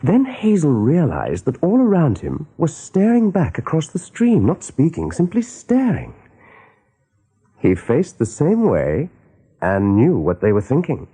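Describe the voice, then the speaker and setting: A man narrating a radio play as a dramatic storyteller, with a mellow, husky voice. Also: a little high, wildly swooping pitch, a little slow.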